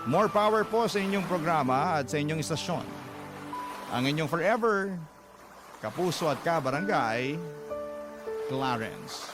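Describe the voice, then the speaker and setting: Male actor as wounded young father, Filipino accent, slightly raised pitch, earnest radio letter narration, confiding, clear music bed. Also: close to an everyday voice. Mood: grateful.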